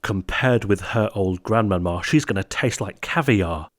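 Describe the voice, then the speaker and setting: A man's voice: fairly low pitch, unhurried, dry recording, clean studio sound. A man voicing a sly, greedy, villainous storybook wolf with a gloating, menacing manner. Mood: hungry, sly. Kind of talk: storybook verse character voice.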